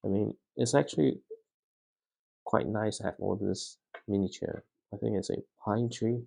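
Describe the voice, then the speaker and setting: A man who is not a native English speaker vlogs casually while building a toy set at home, talking quietly and haltingly with many fillers and a dry throat. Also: lowish voice, gravelly.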